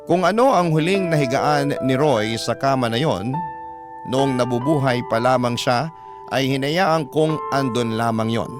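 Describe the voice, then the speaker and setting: A man with a Filipino accent narrating a dramatic first-person story in a solemn, sorrowful, deliberate way. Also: medium pitch. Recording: piano music behind the voice.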